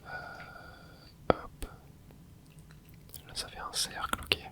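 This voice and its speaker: Whispering man doing gameplay commentary. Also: drawn-out words. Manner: soft whispering with little interjections while playing; chatty, explains the game to viewers. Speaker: ASMR gaming channel host.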